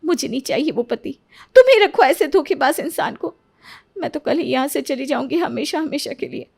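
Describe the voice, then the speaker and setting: A woman's voice: sits high, lively intonation, normal speed, creaky, a little mellow. A woman playing a sobbing betrayed wife. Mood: bitter. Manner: tearful and pleading, bitter outbursts. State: crying. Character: heartbroken betrayed young wife.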